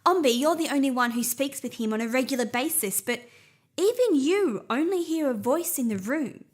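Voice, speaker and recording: A woman's voice: high-pitched, animated, normal speed. A woman playing a worried, reasonable palace adviser in a fantasy audio drama. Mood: doubtful.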